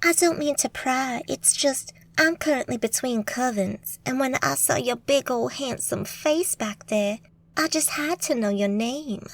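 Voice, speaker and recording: A woman's voice: high voice, a little slow, voice pushed up. A woman putting on a coy Southern witch, Angel. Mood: coy flirtation. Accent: put-on Southern US drawl. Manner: coy, sugary, fake-sweet.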